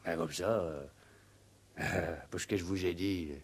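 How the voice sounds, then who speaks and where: Simple farmhand, low voice, rustic, halting, spiteful gossip, old radio drama. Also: male voice, fairly even intonation, slightly rough, heavily acted. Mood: naive, sly. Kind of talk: 1960s French radio play acting.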